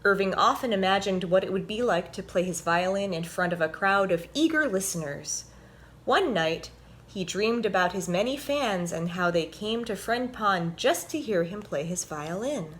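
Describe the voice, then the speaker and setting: A woman presenting and reading a children's story aloud in an expressive, clearly told storytelling manner. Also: mid-range voice, normal speed.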